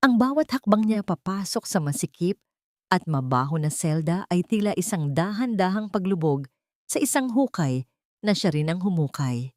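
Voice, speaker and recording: A woman's voice: fairly low pitch, lively intonation, moderate pace, no room sound, studio quality. A woman narrating a scripted dramatic audio story in a solemn, literary storytelling manner.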